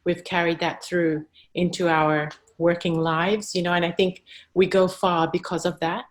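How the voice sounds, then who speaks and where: A woman from Zambia, a guest on a video call, speaking thoughtfully and pausing to search for words. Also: lowish voice, little pitch movement. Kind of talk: casual live-stream conversation.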